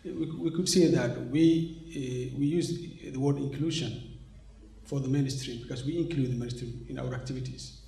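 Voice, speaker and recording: Male interviewee from Somalia, 40s, mid-pitched and dark-toned, halting delivery, roomy hall sound. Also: fairly even intonation, creaky. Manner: hesitant, many fillers and restarts. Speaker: interviewee, organization representative. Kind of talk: conversational interview. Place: conference venue, indoors. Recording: echoey room sound.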